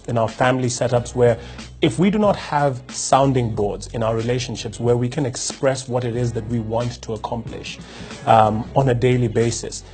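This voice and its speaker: A man's voice: mid-range voice, darkish tone. Reflective man talking over faint music. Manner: reflective, many restarts and rhetorical questions. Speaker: host. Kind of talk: casual motivational talk to camera.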